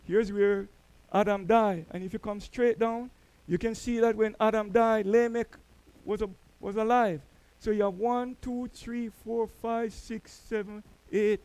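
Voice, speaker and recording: High-voiced Jamaican preacher. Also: male voice, smooth, rough recording. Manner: storytelling preacher, swells louder on key words. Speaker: church elder. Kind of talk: sermon. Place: church.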